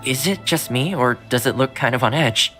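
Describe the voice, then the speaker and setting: An actor playing a friendly, patient young man in a video game, chatting gently over game music. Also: mid-range voice, normal speed, close to an everyday voice. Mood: earnest. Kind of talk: game dialogue acting.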